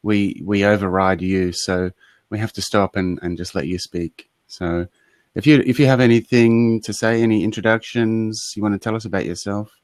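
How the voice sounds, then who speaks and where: A man's voice: lowish voice, steady tone, moderate pace, darkish tone, dry recording. An Australian man co-hosting a casual online chat. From South Australia. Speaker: co-host.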